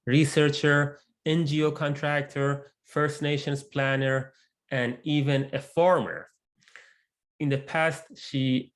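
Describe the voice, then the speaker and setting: Man presenting on a video call. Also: medium pitch. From immigrant to Canada. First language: not English. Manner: measured, with frequent fillers and restarts. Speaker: research lab lead presenting. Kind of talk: online presentation talk. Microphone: computer mic on video call.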